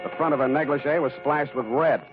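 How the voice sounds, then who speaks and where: An actor playing a hard-boiled, wisecracking private detective in terse, deadpan 1940s radio style. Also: male voice, on the high side, fast talker, close to an everyday voice. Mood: deadpan narration. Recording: dull 1940s radio recording, background music.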